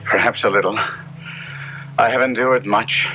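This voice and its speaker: A man's voice: fairly low pitch, steady tone, moderate pace, bright, forceful tone, a little hiss or hum. An actor playing a passionate, tormented young nobleman in an old-time radio drama, speaking with theatrical, archaic diction. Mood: weary, tender. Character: passionate, tormented young Italian nobleman recounting his horror tale. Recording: muffled 1970s radio drama with music.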